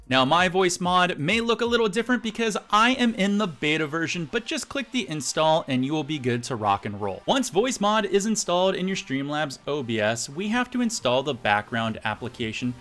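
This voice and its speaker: Lively high-pitched man presenting a tutorial. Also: moderate pace, hardly any pauses, faint music behind. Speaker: tutorial host. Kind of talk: instructional software tutorial. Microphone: USB studio microphone.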